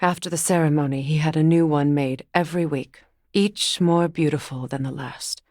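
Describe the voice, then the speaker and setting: An actress playing a grave, sorrowful exiled woman of magic in a video game, speaking in measured, formal phrases. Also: low voice, fairly even intonation, a little mellow, no echo, clean studio sound, close to an everyday voice. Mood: regretful. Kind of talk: dramatic game character dialogue.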